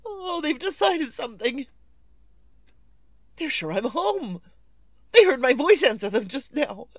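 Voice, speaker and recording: An actress playing a nervous, imperious, querulous wife in 1940s radio suspense style, melodramatic and demanding. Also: female voice, high voice, sing-song, no echo. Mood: anxious, querulous. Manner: melodramatic, demanding, drawn-out delivery.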